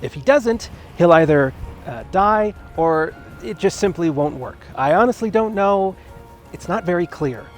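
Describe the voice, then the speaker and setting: A man's voice: high voice, expressive, measured pace. A man hosting a comedic movie review, reading scripted narration in a sarcastic manner. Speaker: host of a movie review show. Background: background music.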